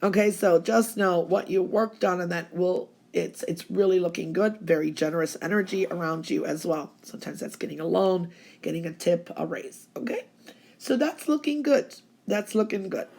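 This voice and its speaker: A woman's voice: on the low side, normal speed, gravelly, a little mellow. A woman with laryngitis, giving a chatty, reassuring fortune reading. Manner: chatty, reassuring, keeps saying okay. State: hoarse from laryngitis. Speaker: psychic reader. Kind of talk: tarot-style weekly reading.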